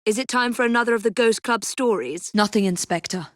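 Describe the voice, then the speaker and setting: An actress with an English accent playing a poised, determined young Victorian assassin in a video game, speaking in crisp, formal phrases with dry wit. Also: female voice, a little high, a little fast, dry recording, natural voice. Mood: composed, businesslike. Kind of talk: dramatic video game voice acting.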